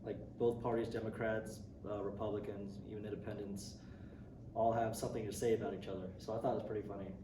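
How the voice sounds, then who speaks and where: A man's voice: fairly low pitch, level tone, quick pace. A young man from Indonesia answering interview questions casually, with many ums, restarts and light laughs. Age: young adult. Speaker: student interviewee. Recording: roomy, distant mic, noisy.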